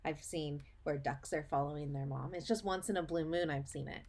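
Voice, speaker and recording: A woman's voice: low voice, darkish tone. Woman leading a children's read-aloud lesson. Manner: explanatory, pausing to question the listener, emphatic on key phrases. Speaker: speech and language teacher reading a story aloud.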